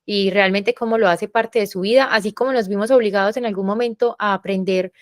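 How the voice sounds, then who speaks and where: A woman's voice: medium pitch, fairly even intonation, brisk, no room sound, clean studio sound. A woman, a university professor moderating a panel, talking in a reflective, explanatory way with many fillers. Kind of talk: panel discussion.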